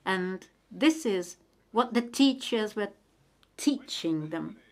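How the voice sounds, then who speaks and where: A woman interpreting a speaker's remarks live, in a measured, steady delivery. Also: medium pitch. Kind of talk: live interpretation of a panel discussion.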